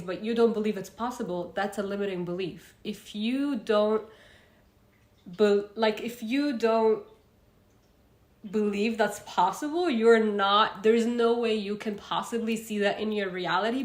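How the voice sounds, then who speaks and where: A chatty young woman from Egypt hosts a casual self-help livestream in a room with a noticeable echo. Her pitch sits at an ordinary middle level, and her talk is loose and conversational, full of fillers and restarts. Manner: chatty, reads out viewer comments, frequent fillers. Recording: roomy echo.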